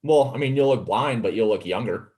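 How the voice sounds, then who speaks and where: A man's voice: medium pitch, a little fast, darkish tone. A man in his late twenties co-hosting in casual chat, joking in an easygoing way and often saying "like". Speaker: co-host. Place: indoor home room on a video call.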